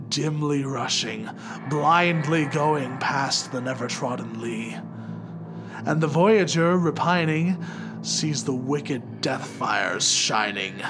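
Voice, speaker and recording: A man narrating poetry in a theatrical, solemn and measured dramatic recitation. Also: medium pitch. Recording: loud music under the voice. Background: music.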